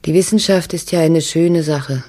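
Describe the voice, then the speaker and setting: An actress playing a troubled, tender wife who confides softly and wistfully in a letter, with a husky voice, in a radio drama. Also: female voice, deep voice, moderate pace.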